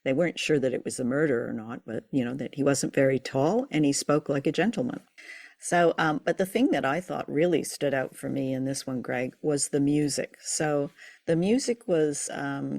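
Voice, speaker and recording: A woman's voice: deep voice, gravelly, a little mellow, no echo. Woman co-host chatting, close dry sound. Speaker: podcast co-host. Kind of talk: casual discussion of old radio drama.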